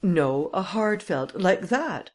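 A woman's voice: on the low side, expressive, takes it slowly, voice pushed up. A woman voicing a matter-of-fact male police officer asking plain questions.

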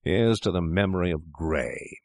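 A man voicing a boastful young male doctor, drunk and swaggering, with a loud bragging manner. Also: low voice, expressive, a little slow, vocal fry, no echo, clean studio sound, heavily acted.

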